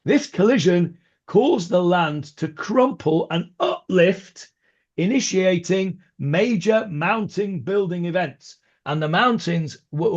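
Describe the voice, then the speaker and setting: Brit guy teaching an online class, slow and kinda high, lots of ums, muffled call audio. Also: a little mellow. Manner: hesitant, many ums and restarts, chatty asides. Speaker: rabbi teaching a Talmud class. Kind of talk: informal online study class. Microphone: video call. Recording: dull lo-fi video call audio.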